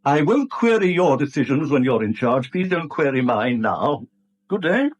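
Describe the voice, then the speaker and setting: An actor playing a bitter middle-aged British bank manager in a radio drama, brusque, indignant and clipped, on a dull vintage recording. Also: male voice, mid-range voice, very animated, moderate pace, husky. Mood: curt, irritated. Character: bitter, prickly long-serving male acting bank manager, older. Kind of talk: 1970s radio drama acting.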